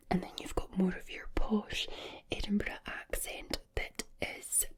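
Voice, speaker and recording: A woman speaks in a breathy whisper for ASMR. Her delivery is very slow and deliberate, with a soothing manner and a swooping, expressive pitch. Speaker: ASMR creator.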